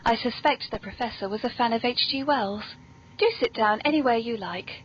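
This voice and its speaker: A woman's voice: on the high side, fairly quick. A British woman hosting a podcast in a genteel, playfully theatrical and very polite manner. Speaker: podcast host. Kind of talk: whimsical scripted hosting and genteel chat. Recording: dull lo-fi recording, background noise.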